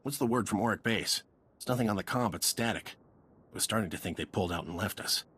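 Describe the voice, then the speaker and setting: An actor playing a gloomy, dutiful male soldier in a video game, husky and dryly serious. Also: on the low side, quick pace, a bit bright, a little hiss or hum, lightly acted. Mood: grim, dutiful. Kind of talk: scripted video game cutscene acting.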